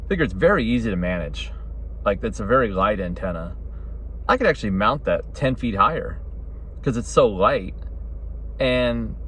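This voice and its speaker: A man's voice: fairly low pitch, a little hiss or hum. A middle-aged man giving a casual, rambling opinion talk as a hobbyist, correcting himself and checking in with "right?". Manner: rambling, self-correcting, often adds "right?" and "you know". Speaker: hobbyist radio vlogger.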